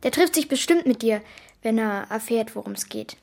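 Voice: medium pitch, expressive, normal speed, gravelly, a little mellow. A performer playing a bold, resourceful young girl in a children's radio play, blunt and self-assured. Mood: scheming, matter-of-fact.

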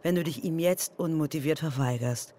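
An actress playing a provocative, mocking inner voice that needles a wife in a radio drama. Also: female voice, low voice, moderate pace, warm tone. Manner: mocking, insinuating.

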